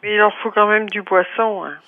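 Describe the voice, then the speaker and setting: A woman's voice: mid-range voice, fairly even intonation, brisk. Tinny phone-line French woman. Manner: hesitant, polite, plain-spoken. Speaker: school canteen manager. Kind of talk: spontaneous phone conversation. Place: on a telephone call. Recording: tinny narrow-band telephone line.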